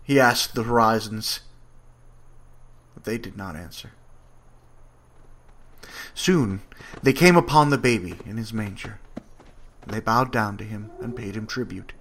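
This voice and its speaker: Slow, dramatic male storyteller. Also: lowish voice. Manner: dramatic, with wide swings in loudness. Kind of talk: dramatic scripted monologue reading.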